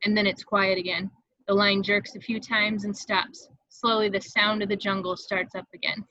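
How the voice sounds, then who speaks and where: A woman's voice: medium pitch, flat delivery, normal speed, sharp tone, no echo. A woman reading stage directions as the narrator of a script table read, in a slightly dull, dry home recording.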